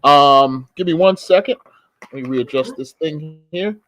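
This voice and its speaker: A middle-aged man from the Caribbean, a guest who tells stories in a relaxed, talkative way on a casual remote podcast chat. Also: a little high, unhurried, slight background noise, poor audio. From the Caribbean; born in the Bahamas, grew up in Barbados.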